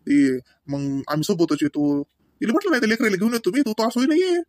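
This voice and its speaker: A man's voice: high voice, wildly swooping pitch, fast talker, a little mellow, no room sound, clean studio sound. A man voicing a doting, proud young father in a comedy cartoon, chatty and folksy, with a Varhadi Marathi accent. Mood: chatty, caring. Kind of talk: homemade comedy cartoon dialogue.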